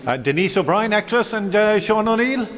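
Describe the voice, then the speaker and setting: A man's voice: high voice, lively intonation, moderate pace, background noise. An Irish man working as a radio interviewer, informal and stammering over the starts of his words. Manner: stammering, repeats word starts. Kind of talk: informal live event interview. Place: busy arts centre at a launch event. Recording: loud crowd chatter behind. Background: crowd chatter.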